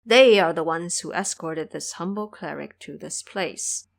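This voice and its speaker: A woman's voice: on the low side, a little slow, gravelly, pitched below the performer's own voice. A woman playing a humble, timid Buddhist monk who speaks formally and deferentially in an audio drama. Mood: apologetic.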